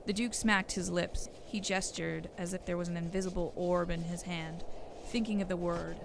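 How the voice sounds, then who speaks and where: Lady narrating a story, lowish creaky voice, noticeable background noise. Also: fairly even intonation, normal speed.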